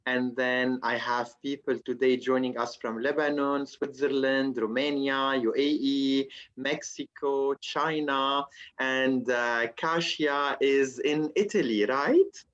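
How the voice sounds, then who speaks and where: A man's voice: medium pitch, steady tone, takes it slowly, a bit bright. Male webinar host, dull call audio. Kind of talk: welcoming online session introduction.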